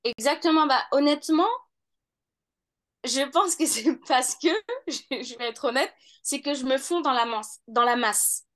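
High-voiced woman in her thirties. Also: measured pace, fairly bright tone. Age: 30s. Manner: hesitant, many fillers and restarts. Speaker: law student interviewee. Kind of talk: casual interview answers.

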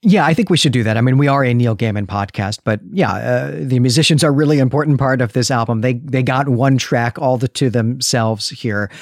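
A middle-aged man with a medium-pitched voice speaks as a podcast co-host. He analyses things in a conversational, reflective way, often checking that his listener agrees. The recording is close and dry. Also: brisk, hardly any pauses, no echo.

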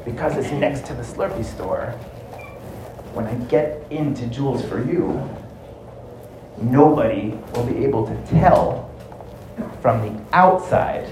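A man voicing a confident, scheming thief in a story, muttering deliberately to himself. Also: mid-range voice, expressive. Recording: roomy echo, light background noise.

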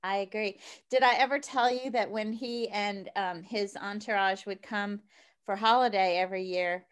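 A woman's voice: medium pitch, fairly even intonation, moderate pace, smooth. A woman hosting a casual interview, chatty and gushing, laughing often. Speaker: podcast host.

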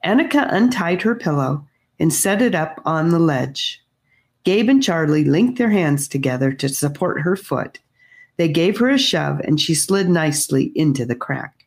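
Low-voiced woman reading a children's story. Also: animated, normal speed. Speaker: audiobook reader. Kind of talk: storybook narration.

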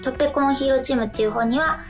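A woman's voice: sits high, very fast, music in the background. A woman co-hosting a radio show, chatting casually and giving announcements in a polite manner.

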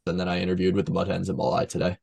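A man's voice: deep voice, completely flat delivery, racing, gravelly, darkish tone. A young man co-hosting a sports podcast, giving casual commentary and chat among friends.

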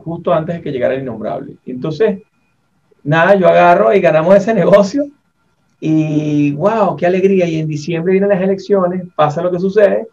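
A man's voice: fairly high pitch, darkish tone. A Venezuelan-accented man in his forties telling stories casually, in a colloquial way with frequent tag questions. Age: 40s. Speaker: interviewer, entrepreneur. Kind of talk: casual conversational storytelling. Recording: muffled, distant from the microphone.

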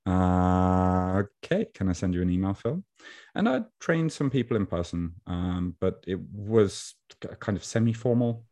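Low mellow-voiced man telling stories. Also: measured pace. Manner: animated, laughs while telling stories. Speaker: podcast guest, online fitness coach. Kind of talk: casual storytelling interview. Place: Japan.

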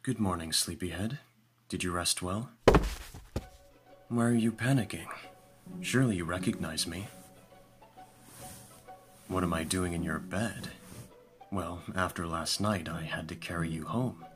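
A man playing a composed vampire lord in an audio roleplay, speaking formally and with calm authority to a servant. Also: sits low, unhurried, pauses often. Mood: calm, teasing. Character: lordly young-sounding male vampire master, calm and commanding. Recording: music behind the voice. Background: music.

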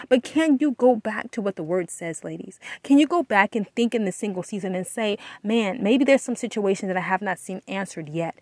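Female faith podcast host, mid-pitched, earnest and exhorting, repetitive for emphasis, close dry recording. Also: darkish tone, no echo. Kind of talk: devotional encouragement talk with prayer.

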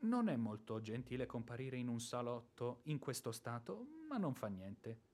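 An actor playing a boorish, hot-tempered ex-officer creditor in a radio drama, sarcastic and theatrical, moving between muttering and bluster. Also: male voice, mid-range voice, sing-song, moderate pace, a little mellow. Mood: grumbling, weary. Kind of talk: radio drama stage acting.

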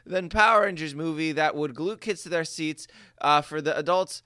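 A man's voice: on the high side, normal speed, bright, forceful tone, clean tone, dry recording, studio quality. A young man co-hosting a podcast, chatting casually and reading reviews aloud in a giggly, stumbling way. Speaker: podcast co-host.

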